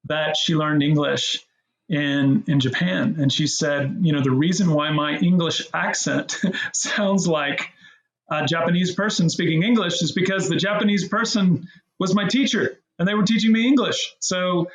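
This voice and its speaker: A middle-aged man in his forties, a guest co-founder talking casually in an interview, rambling and animated with many restarts. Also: a little high, normal speed, echoey room, poor audio. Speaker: guest, co-founder of a language app.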